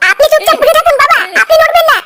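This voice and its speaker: Young daughter-in-law, cartoon, voiced by a woman: piping, fast, attentive yet scolding, noisy track. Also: extremely high pitch, no echo. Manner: attentive to elder, sharp-tongued scolding. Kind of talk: Bengali comedy cartoon dubbing.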